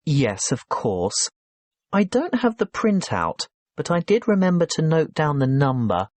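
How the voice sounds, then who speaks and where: Man playing polite businessman hotel guest, animated pitch, dry close recording, courteous, clearly enunciated, scripted dialogue. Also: unhurried, no echo. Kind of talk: scripted listening-test dialogue.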